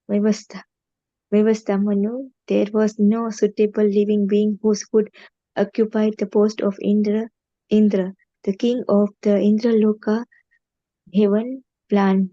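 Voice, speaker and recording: A woman's voice: mid-range voice, warm tone, no echo. A woman reading a translation aloud, hesitant and stumbling over long names. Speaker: student reader. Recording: dull, lo-fi sound.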